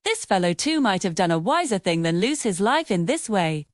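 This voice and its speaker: A woman's voice: medium pitch, sing-song, moderate pace, no room sound, studio quality, voice pushed down, close to an everyday voice. A woman voicing a haughty, confident young princess who dismisses others in a fairy tale. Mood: scornful. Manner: scornful, confident.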